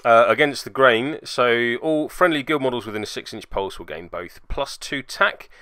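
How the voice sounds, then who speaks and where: A man's voice: mid-range voice, normal speed, pushed and bright, clear, resonant tone, no room sound, clean studio sound. A man giving a play-by-play voice-over recap of a tabletop game as its host and player, with dryly sarcastic asides.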